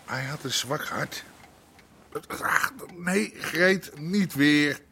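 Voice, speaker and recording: An actor playing a weary, stubborn middle-aged underworld boss in a radio drama, talking colloquially and gruffly. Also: male voice, fairly high pitch, extremely slow, bright, forceful tone, a little hiss or hum, heavily acted. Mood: dismissive. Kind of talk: naturalistic radio drama acting.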